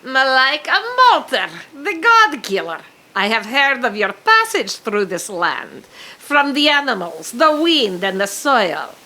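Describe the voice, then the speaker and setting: A woman playing an ancient, menacing and haughty witch in an audio drama, speaking in formal, archaic, deliberate phrases. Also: high-pitched, sing-song, pushed and bright, full character voice. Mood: imperious. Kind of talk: audio fiction acting.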